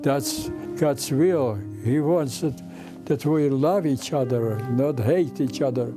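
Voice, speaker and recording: An elderly man in his nineties recounts his memories with a Ukrainian accent. His English is broken and halting, and he often repeats words. His pitch is medium, with music behind him. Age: over 90. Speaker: interviewee, Holocaust survivor. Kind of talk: personal recollection. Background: instrumental music.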